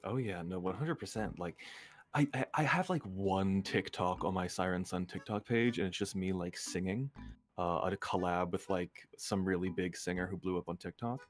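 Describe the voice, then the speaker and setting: Deep-voiced man in casual chat. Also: normal speed, faint music behind. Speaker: guest streamer, singer and voice actor. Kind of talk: casual chat on a collab livestream.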